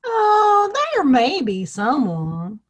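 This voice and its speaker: Woman playing a high-voiced feisty frontier woman. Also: wildly swooping pitch, very slow, muffled recording, exaggerated voice. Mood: coy. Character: feisty, outspoken small-town frontier woman, teasing friend. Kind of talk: audio play western dialogue.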